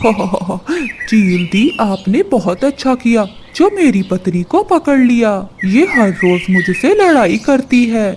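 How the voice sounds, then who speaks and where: A woman's voice: a little high, very animated, slow, background noise. A woman voicing a crafty male crow, an earnest, wheedling schemer in a children's cartoon. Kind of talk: children's cartoon story dialogue.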